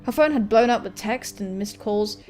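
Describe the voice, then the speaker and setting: A woman reading a visual novel aloud as expressive story narration for a gaming video. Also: medium pitch, normal speed. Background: music, guitar.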